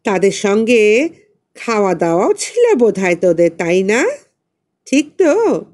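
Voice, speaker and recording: A scornful older aunt from a storybook, acted by a woman narrator. She drawls slowly and sanctimoniously, with swooping, mocking intonation. She sounds nosy and disapproving. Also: medium pitch, big pitch swings, darkish tone. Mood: nosy, probing. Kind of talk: audiobook character voice.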